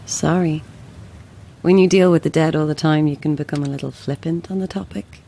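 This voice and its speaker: Female spiritualist, audio drama. Lowish voice, brisk, blunt and a little flippant. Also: fairly even intonation, vocal fry, mellow. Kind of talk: naturalistic audio drama acting.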